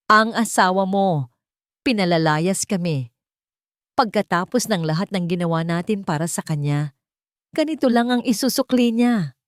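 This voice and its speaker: A woman's voice: mid-range voice, expressive, normal speed, long pauses, dry recording, studio quality, lightly acted. A woman voicing an indignant, manipulative older mother-in-law with a wailing, aggrieved manner in a story.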